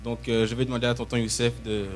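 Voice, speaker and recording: A man's voice: mid-range voice, little pitch movement, fast talker. A young man from Belgium preaching live in church, in short emphatic phrases that leave room for an interpreter. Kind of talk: live sermon with pauses for interpretation. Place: church, speaking to a congregation. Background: musical instrument playing.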